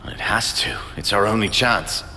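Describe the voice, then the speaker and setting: A man's voice: on the low side, moderate pace, long reverb tail, natural voice. An actor playing a grave, desperate male scientist in a video game. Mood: grim, tense.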